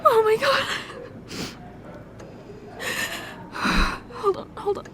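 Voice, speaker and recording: A distraught young fiancée, played by a woman in an audio roleplay, her high voice shaky and tearful. She stammers and repeats herself, the words coming out in halting bursts. A faint hum of background noise sits under the voice. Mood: tearful relief. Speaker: ASMR roleplay performer.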